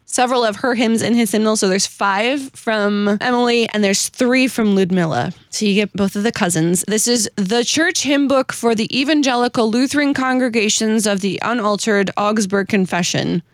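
A woman's voice: fairly high pitch, moderate pace, hardly any pauses, dry recording. An American woman hosting a casual chat, informal and giggly, who also reads verses aloud. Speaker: host presenting a hymn-writer's story.

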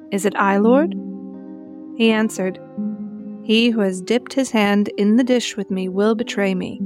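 A woman narrates scripture in a solemn, reverent way. The voice sits at a medium pitch and moves in measured phrases, with clear guitar music behind it.

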